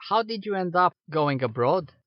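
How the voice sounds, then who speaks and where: A male podcast host asks short, friendly questions in a fairly high voice. The sound is dry and close, with a dull, lo-fi tone. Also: animated, moderate pace, dry recording. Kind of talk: casual interview questions.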